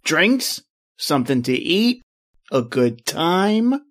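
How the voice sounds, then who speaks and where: Man acting a breezy sidekick, swooping pitch. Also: big pitch swings, slow pace, fairly bright tone, full character voice. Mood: teasing, sly. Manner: folksy, colloquial, inquisitive. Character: breezy, curious male pal, plain-spoken and slangy. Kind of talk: old-time radio drama acting.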